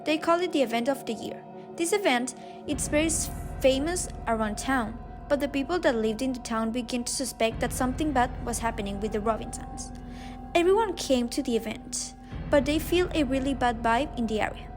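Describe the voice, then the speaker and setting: A woman reading scripted radio drama narration, a little haltingly, with small stumbles. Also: sits high. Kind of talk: scripted narration, read aloud. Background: music.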